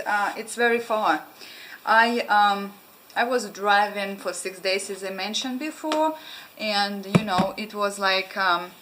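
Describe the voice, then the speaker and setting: A woman's voice: mid-range voice, a bit bright, poor audio. A young woman from Ukraine with a Russian accent, chatting casually to camera at home, hesitant with many ums and restarts. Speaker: vlogger. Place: small room at home, indoors.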